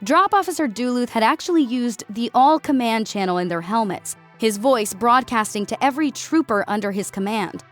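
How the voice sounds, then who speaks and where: A woman's voice: fairly high pitch, animated, normal speed, few pauses, soft background music. A woman reading scripted narration of a military science fiction story as an audiobook narrator.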